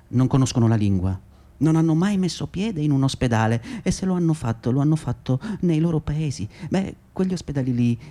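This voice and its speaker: An actor playing a condescending, self-justifying labour agency manager in a radio drama, with patronising, hesitant, repetitive speech. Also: male voice, medium pitch, mellow. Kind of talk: radio drama monologue and dialogue.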